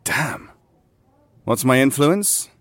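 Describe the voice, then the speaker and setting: A man's voice: medium pitch, lively intonation, very slow, fairly bright tone, dry recording. A man playing a weary, stubborn veteran player of a surreal game, wry and conversational in a naturalistic audio drama. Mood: wry, resigned.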